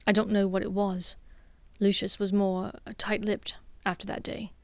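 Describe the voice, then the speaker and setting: A woman voicing an intense, sharp-tongued teenage boy wizard in an audiobook, urgent and commanding. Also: mid-range voice, little pitch movement, moderate pace, no echo, close to an everyday voice. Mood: worried warning.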